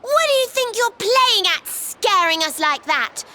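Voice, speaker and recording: Video game role: expressive young female, extremely high, measured pace, noticeable noise behind. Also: full character voice. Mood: indignant.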